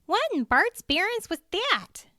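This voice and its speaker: Woman acting an emphatic, high-voiced female forest ranger. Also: very animated, a little slow, bright, forceful tone, no echo, studio quality, exaggerated voice. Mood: shocked, incredulous. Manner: emphatic, exclamatory. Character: alarmed female forest ranger, disbelieving, bossy but caring. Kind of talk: audiobook character dialogue.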